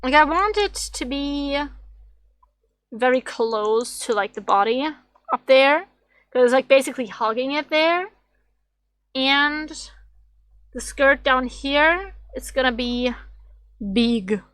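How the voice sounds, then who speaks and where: A woman streamer with a slightly raspy voice, rambling and thinking aloud as she draws on a casual livestream. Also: sits high, expressive, slow pace, pauses often, fairly bright tone, no echo. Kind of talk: casual drawing livestream chat.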